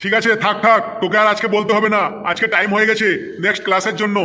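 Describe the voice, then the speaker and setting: A man plays a fed-up schoolmaster in a comedy cartoon. His voice is high and forceful, stern and sarcastic, ringing with long reverb. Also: little pitch movement, racing. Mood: resigned, stern. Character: exasperated, scolding male schoolteacher. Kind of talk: comic cartoon dialogue.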